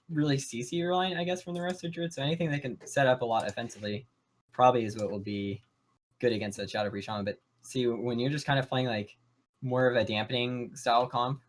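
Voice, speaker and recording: A man co-casting a live esports stream, giving quick analytical play-by-play. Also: mid-range voice. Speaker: esports co-caster.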